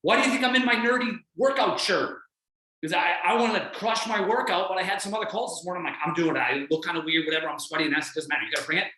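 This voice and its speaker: A man giving a blunt, energetic motivational coaching talk on a video call, with a non-American accent, often acting out what other people think. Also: sits high, very fast, roomy sound, rough recording. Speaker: fitness coach guest speaker.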